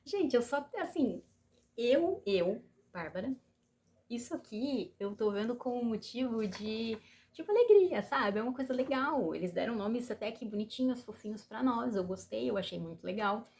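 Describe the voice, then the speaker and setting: Brazilian woman vlogger, Brazilian Portuguese accent, chatty, swinging from excitable to heartfelt. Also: a little high, moderate pace. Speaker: YouTuber. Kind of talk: casual chat to camera.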